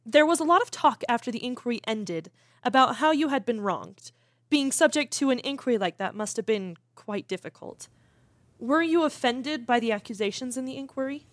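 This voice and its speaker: Actress doing a gushy but nosy talk show host lady, really animated, close dry sound. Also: fairly high pitch, normal speed, no echo, lightly acted. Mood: probing. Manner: polished, effusive, probing. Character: bubbly, probing female TV talk show host. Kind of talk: scripted broadcast interview.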